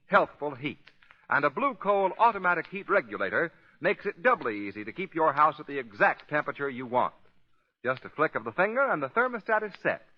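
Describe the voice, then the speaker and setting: Male 1940s radio announcer, lively and swooping, polished sales delivery, muffled vintage broadcast sound. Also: on the high side.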